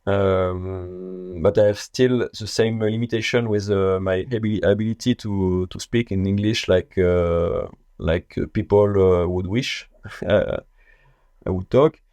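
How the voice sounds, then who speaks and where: A man with a French accent, a native French speaker, talking as a podcast guest in a casual interview, halting and searching for his words in English. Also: deep voice, steady tone, a little mellow, clean tone, no room sound. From France.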